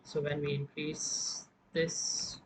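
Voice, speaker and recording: A man presenting an informal music production software tutorial, explaining step by step in a halting way. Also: on the high side, level tone, heavy background noise.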